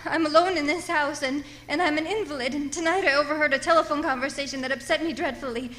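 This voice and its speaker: A woman's voice: high-pitched, steady tone, fast talker, fairly bright tone. A woman playing a frightened, demanding invalid lady alone at home, pleading and stammering in old-time radio suspense style. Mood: agitated, demanding.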